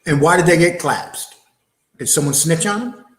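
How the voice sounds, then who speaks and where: Sarcastic guy ragging on a video, sweary, kinda high voice, roomy echo. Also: moderate pace, a bit bright. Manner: sarcastic, profane. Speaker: show co-host. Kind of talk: casual mocking commentary on a video.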